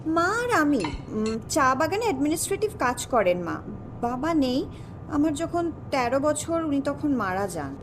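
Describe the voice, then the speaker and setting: A woman's voice: sing-song, measured pace. An actress playing a young woman in an audio drama, speaking in a conversational way. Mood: wistful. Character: soft-hearted young woman, recent migrant. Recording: noisy, rumble and clinking behind.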